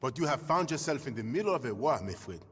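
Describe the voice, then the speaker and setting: An actor playing a shrewd rebel ally in a video game, speaking in a measured, persuasive way. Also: male voice, mid-range voice, sing-song, husky. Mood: urgent, persuasive. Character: shrewd, calm male rebel ally offering a bargain. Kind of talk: video game cutscene acting. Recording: long echoing reverb.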